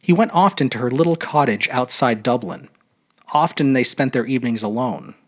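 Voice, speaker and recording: A man narrating a literary short story aloud in an expressive, measured, dramatic audiobook style. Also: medium pitch. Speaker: storyteller reading fiction aloud.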